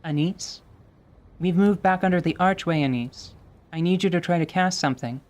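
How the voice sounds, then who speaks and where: Man acting as female fantasy warrior, soft-spoken, calm, considerate, formal phrasing, radio drama. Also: high voice, normal speed, pitched above the performer's own voice, natural voice. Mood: gentle, steady.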